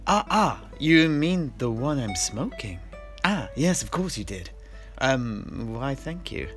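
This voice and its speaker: A man's voice: mid-range voice, sing-song, slow, pitched above the performer's own voice. A man playing a jovial, tippling fantasy tavern keeper, telling stories in character in a hammy, hesitant, musing way. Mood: pleased, musing. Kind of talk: in-character theatrical fantasy storytelling. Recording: music behind the voice.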